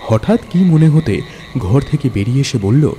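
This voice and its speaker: A man's voice: mid-range voice, animated, normal speed, warm tone, a little hiss or hum. A man narrating a scripted ghost story as a dramatic storyteller. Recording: music bed under narration.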